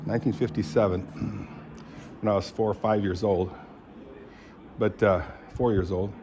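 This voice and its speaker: A man in his seventies, born in Indonesia, talking in a rambling, halting way, full of fillers and frequent throat clearing. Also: lowish voice, fairly even intonation, darkish tone, muffled recording, a little hiss or hum. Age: 70s. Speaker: vlogger. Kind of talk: rambling casual monologue.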